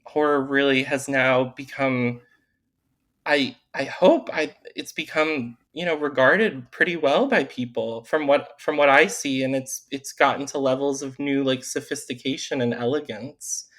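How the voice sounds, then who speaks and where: Earnest man guest, mid-pitched. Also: unhurried. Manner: earnest, warm-hearted, with fillers like "like" and "um". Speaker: author guest. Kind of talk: casual interview chat.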